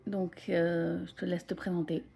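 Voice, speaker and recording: A woman's voice: fairly low pitch, flat delivery, a little slow, vocal fry, mellow. A woman in her late twenties chatting casually to her viewers as a vlogger. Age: 20s. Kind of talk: casual vlog chat.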